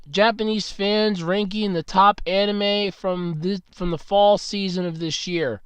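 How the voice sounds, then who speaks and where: A sick man is losing his voice as he hosts his podcast, speaking right up against the mic in a dry, close sound. His voice sits fairly high yet comes through smooth and clear. He talks frankly, grumbles, and drops casual swears. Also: a bit bright, dry recording. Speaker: podcast host. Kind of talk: casual solo podcast talk. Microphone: very close mic, about two inches away.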